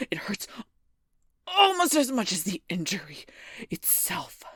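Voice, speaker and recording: A woman playing a gruff, bitter female werewolf knight in an intimate audio roleplay, husky and whispery, halting and strained with pain. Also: medium pitch, a bit bright, no room sound, clean studio sound, heavily acted. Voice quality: breathy, husky, often whispering.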